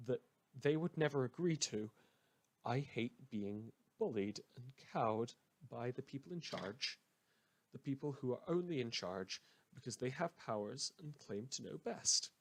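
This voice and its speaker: A man acts as a small-town radio host in a fictional broadcast, troubled and defiant. He speaks slowly in a middling male pitch, with an earnest, halting delivery that weighs each phrase.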